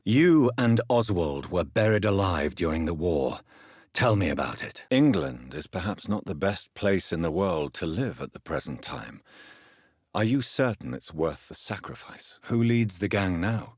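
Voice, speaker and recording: A man playing an earnest, stern gentleman doctor of early twentieth-century England, secretly a vampire, in a video game, with formal, measured, intense diction. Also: low voice, expressive, breathy edge, dry recording, studio quality. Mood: probing, grave. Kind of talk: dramatic video game voice acting.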